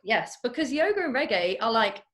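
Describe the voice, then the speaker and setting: A woman's voice: fairly high pitch, sing-song, fairly quick, fairly bright tone. A woman hosting a casual video call chat, teasing her guest with playful asides. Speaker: host.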